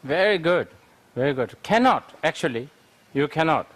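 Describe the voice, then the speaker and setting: A man's voice: on the high side, expressive, normal speed, fairly bright tone. A man, a Buddhist teacher, answers audience questions in a lecture, thinking aloud with frequent fillers.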